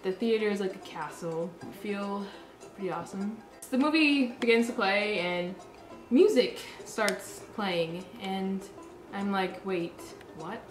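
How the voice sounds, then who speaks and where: A woman telling a casual personal story with deadpan, sarcastic asides. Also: medium pitch, unhurried, reverberant, soft background music. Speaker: storyteller recounting a personal anecdote.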